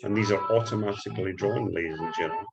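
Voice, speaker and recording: Hyped Scottish man, low voice. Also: fast talker, gravelly, lo-fi sound. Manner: hyped salesman patter, keeps repeating "ladies and gentlemen". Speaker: forex trading instructor. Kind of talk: screen-share trading tutorial.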